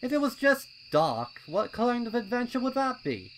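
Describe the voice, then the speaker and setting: A man voicing an eager, adventurous young colt pony in an excitable, insistent way for a story reading. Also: very high-pitched, moderate pace, a little hiss or hum, voice pushed up, full character voice, rough recording. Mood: excited, bold.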